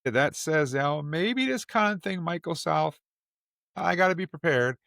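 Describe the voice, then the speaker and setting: A man's voice: fairly high pitch, lively intonation, unhurried, gravelly, a bit bright, dry recording, studio quality. A man hosting a podcast, talking in a casual discussion style. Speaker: podcast host.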